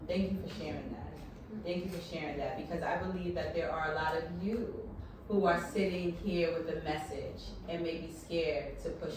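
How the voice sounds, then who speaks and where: Low-voiced woman moderating in an echoey hall. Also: noisy background. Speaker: panel moderator. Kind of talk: panel discussion. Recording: echoey room, mic from a distance.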